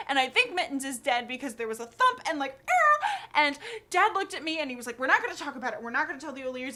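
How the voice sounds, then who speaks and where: A woman acting a monologue as an anxious, guilt-ridden young woman who confesses in a halting, rambling, neurotic way, full of fillers. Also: fairly high pitch, fairly bright tone. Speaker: actor. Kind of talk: acted confessional monologue, conversational. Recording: clearly noisy background ambience.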